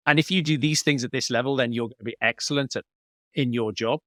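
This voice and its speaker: A man's voice: mid-range voice, fast talker, bright, forceful tone, dry recording, studio quality. A man giving explanatory, conversational answers as a business coach in an interview, working step by step through examples. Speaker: business coach, podcast guest.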